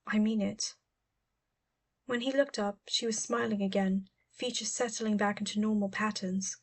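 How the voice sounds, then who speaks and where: Woman, medium pitch, audiobook narration, scripted storytelling. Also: steady tone, normal speed.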